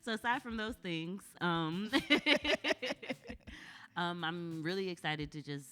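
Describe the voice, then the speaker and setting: A woman's voice: fairly low pitch, takes it slowly, sharp tone, clean tone. A woman hosting a community radio show, chatting casually and reflectively, heartfelt and informal as she searches for words.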